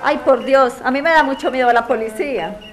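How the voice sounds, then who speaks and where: Woman acting a high-voiced, anxious Spanish neighbour. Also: fairly quick, slight background noise, natural voice. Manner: exclamatory, anxious. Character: frightened, overwhelmed woman neighbour facing eviction. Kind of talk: audio drama dialogue.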